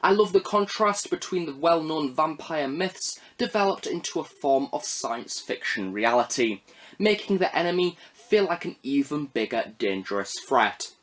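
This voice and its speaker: A male reviewer delivering scripted narration with emphatic, deliberate phrasing. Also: a little high, animated, a bit bright. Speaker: reviewer, host of an audio drama review series.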